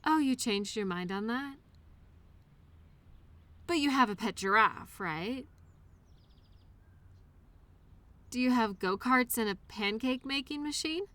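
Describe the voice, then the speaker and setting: Woman as a bright, high-voiced childlike imaginary friend. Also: animated, no echo. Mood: excited, delighted. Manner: earnest, childlike wonder, hesitant pauses. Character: childlike imaginary friend, eager, wistful, innocent. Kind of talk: intimate scripted audio roleplay monologue addressed to listener.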